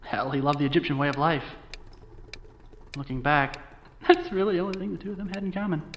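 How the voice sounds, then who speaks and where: A man's voice: a little high, level tone, a little fast, dull audio, a little hiss or hum, natural voice. An actor playing a wry, guilt-ridden young American man recounting his family history in an audio drama. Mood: wry, reminiscing. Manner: wry, sardonic, confiding. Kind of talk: audio drama monologue, conversational storytelling.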